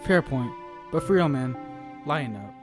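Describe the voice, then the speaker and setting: A man playing a cheeky, teasing male friend in an audio drama, slangy and excitable. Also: mid-range voice, normal speed, lightly acted. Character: Jackson, cheeky teasing young male buddy, always hungry. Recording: loud music under the voice. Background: music.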